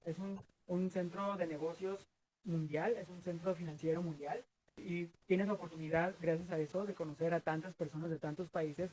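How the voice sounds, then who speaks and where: A man's voice: a little high, darkish tone, a little hiss or hum, rough recording. A Mexican man in his thirties answering interview questions earnestly, rambling with many fillers and restarts. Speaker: guest.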